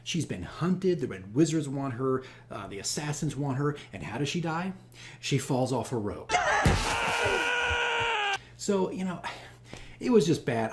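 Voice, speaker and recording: A man's voice: mid-range voice, darkish tone. A man hosting a casual vlog as a game master, telling stories in a rambling, self-deprecating way and repeating phrases for emphasis. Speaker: host, dungeon master. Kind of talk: casual campaign diary vlog, storytelling.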